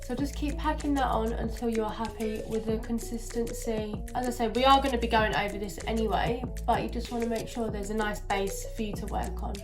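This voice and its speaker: Brief: woman vlogger, bunged-up nasal voice, a little high, chatty with music beneath. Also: steady tone, fairly quick, few pauses. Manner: chatty, many fillers. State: stuffy nose from a cold. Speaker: beauty vlogger. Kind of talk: casual makeup tutorial.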